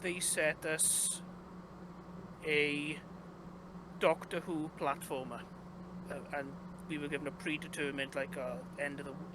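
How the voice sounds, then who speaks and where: A young man with a Welsh accent, a game developer chatting casually as an interview guest, rambling with lots of fillers and restarts. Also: a little high. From Wales. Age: young adult. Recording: noisy background.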